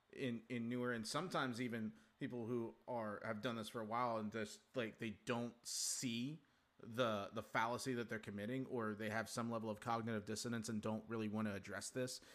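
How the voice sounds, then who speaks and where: Bright-voiced hesitant man. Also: medium pitch, moderate pace. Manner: hesitant, restarts often. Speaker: podcast guest. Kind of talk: casual panel discussion.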